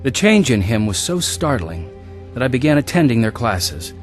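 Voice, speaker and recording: A man's voice: mid-range voice, sing-song, moderate pace, music in the background, close to an everyday voice. An actor playing a restless, searching adventurer who tells his own life story earnestly in a radio drama. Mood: reflective, earnest. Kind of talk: radio drama acting and first-person narration.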